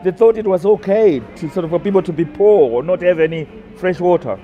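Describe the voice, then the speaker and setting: A middle-aged man reflecting aloud in a halting way, repeating words as he searches for his thought. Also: high voice, muffled recording. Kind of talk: reflective spoken recollection. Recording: music mixed loudly under the voice. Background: music.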